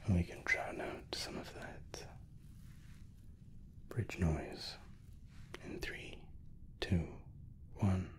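Whispering man, slow ASMR roleplay. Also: deep voice, steady tone. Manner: soft, slow, courteous attentive steward. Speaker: ASMR roleplay performer as spaceship flight attendant.

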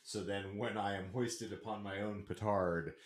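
Deep-voiced, wry man in a roomy space. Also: steady tone, moderate pace. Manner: wry, wordy, mock-grandiose phrasing. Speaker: podcast co-host. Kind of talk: casual banter. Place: small home studio room. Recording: roomy, echoing sound.

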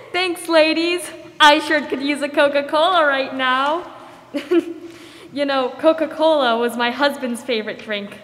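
A 1940s radio hostess, perky and sentimental, played by a young woman. Her voice is bright and fairly high, ringing in an echoey hall. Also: measured pace. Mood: perky, upbeat. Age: young adult. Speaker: radio show host. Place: theater stage, standing mic.

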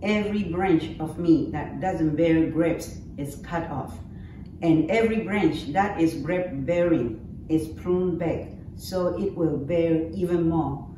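An older woman, a native Taiwanese speaker, reading scripture aloud slowly and carefully from a sheet of paper. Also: low-pitched. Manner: slow, measured reading from paper. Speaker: scripture reader. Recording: echoey, distant mic with faint hiss.

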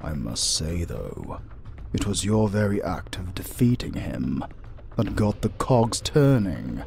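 A man voicing a formal, haughty centuries-old vampire in a dark audio drama, with ornate, deliberate speech. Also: deep voice, sing-song, creaky, faint music behind, heavily acted. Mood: smug.

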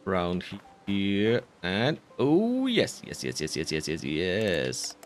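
Man with lively pitch, unhurried gameplay commentary. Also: slight background noise. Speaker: host of an edited game playthrough.

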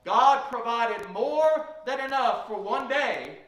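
Middle-aged guy preaching, bright voice, mic way back in an echoey little church. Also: very high-pitched, animated, slow, far from the mic. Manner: storytelling preacher retelling Bible stories. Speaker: pastor. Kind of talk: sermon. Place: small church sanctuary, at a pulpit. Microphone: room mic from a far camera.

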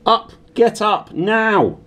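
A storybook aunt, put on by a man, shrill and bossy. The voice is pushed up high and swings widely. The manner is demanding and snappy, with a doting streak for her son. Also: lively intonation, very slow, a bit bright, distant mic, full character voice. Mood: snapping, demanding.

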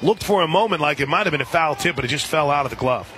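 A man doing live radio play-by-play of softball in a conversational way that can burst into excited calls. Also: a little high, fast talker. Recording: crowd and music behind broadcast. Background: stadium crowd, music.

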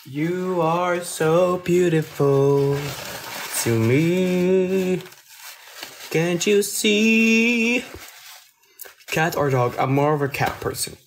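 Slow-talking teen boy. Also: sits high, gravelly, a little hiss or hum. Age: teens. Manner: chatty, answers viewer questions, drifts between topics. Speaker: livestreamer. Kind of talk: casual livestream chat. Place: small room indoors at night. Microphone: phone at arm's length.

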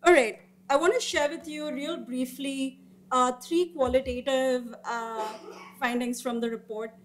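A woman in her thirties giving a formal panel presentation as a researcher, with informal asides and hesitant pauses mid-sentence. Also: sits high, fairly even intonation. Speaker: researcher presenting report findings. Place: indoor meeting room or auditorium, seated at a panel table. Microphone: gooseneck table microphone.

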